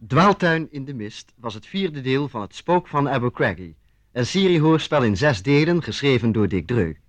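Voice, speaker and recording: A man's voice: medium pitch, big pitch swings, normal speed, no echo. A man reading scripted closing credits as a radio drama announcer. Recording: dull old radio recording.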